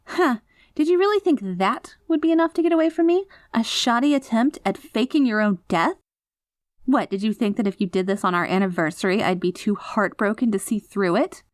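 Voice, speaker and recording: A woman's voice: fairly high pitch, a little fast, dry recording, studio quality, natural voice. A woman playing an obsessive, possessive girlfriend in a scripted audio roleplay, theatrical and sugary with an edge of threat. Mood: mocking, menacing. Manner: saccharine pet names laced with threats, theatrical. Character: obsessive possessive yandere girlfriend, sweetly menacing, long reformed, unraveling. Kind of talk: scripted ASMR roleplay audio drama, voicemail monologue.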